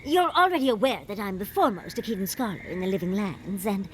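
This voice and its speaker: An actress playing a tiny, excitable, self-assured eccentric scholar in a fantasy video game, chatty and theatrical. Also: female voice, mid-range voice, quick pace, a little hiss or hum, lightly acted. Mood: breezy, proud. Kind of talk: video game dramatic acting.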